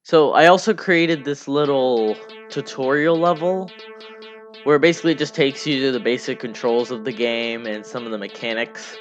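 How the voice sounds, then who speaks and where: Man rambling casually over music, muffled mic. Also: medium pitch. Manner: rambling, halting, repeats himself. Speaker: hobby game developer narrating a devlog. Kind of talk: casual, unscripted screen-recording commentary. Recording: dull lo-fi mic under music.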